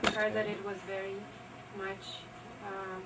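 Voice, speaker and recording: A woman's voice: mid-range voice, monotone, measured pace, heavy background noise. A woman speaking informally from the audience at a live event. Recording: far from mic, loud music over voice.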